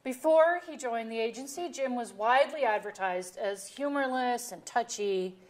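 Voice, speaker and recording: An actress plays a middle-aged wife and mother with a fairly high voice. She is blunt and teasing, delivering her lines dryly in a naturalistic stage comedy reading. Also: moderate pace, natural voice. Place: auditorium stage.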